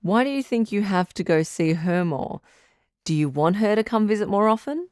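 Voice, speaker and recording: A woman playing a calm, firm relationship advice doctor hosting a radio call-in drama, measured and probing. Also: mid-range voice, no echo, studio quality, natural voice.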